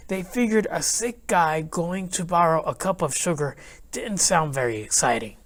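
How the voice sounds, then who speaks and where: Man, children's book read-aloud, chatty, playful, conspiratorial, expressive storytelling. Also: a little high. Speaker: children's read-aloud host narrating as the wolf.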